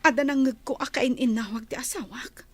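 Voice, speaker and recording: An actress playing an anguished, fearful wife in an Ilocano radio drama. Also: female voice, sits high, fairly even intonation, fairly quick. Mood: troubled, pleading.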